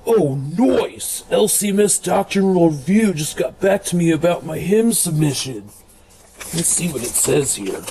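Man playing high-voiced, overly eager worship leader. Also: expressive, unhurried, background noise. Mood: breezy, eager. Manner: slangy, upbeat, reads letters aloud. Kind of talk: comedy radio sketch.

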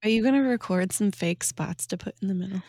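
A woman's voice: mid-range voice, fast talker, darkish tone, no echo, clean studio sound. A woman hosting a podcast in a chatty, informal way. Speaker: podcast host. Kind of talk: casual podcast chat and announcements.

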